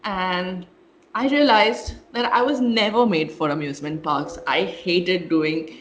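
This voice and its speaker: A woman from India, co-hosting a casual podcast and telling animated stories with laughs. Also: lowish voice, measured pace, a little hiss or hum. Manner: animated storyteller, laughs mid-sentence. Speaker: podcast co-host. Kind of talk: casual chat.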